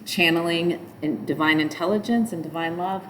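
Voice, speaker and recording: A middle-aged woman with a nasal voice sits somewhere a little low in pitch, teaching a yoga class. She explains at a loose, meandering pace, trailing into asides. A faint hiss runs under the recording. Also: normal speed, fairly bright tone. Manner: chants mantras in a nasal sung tone between explanations. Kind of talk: spoken yoga instruction.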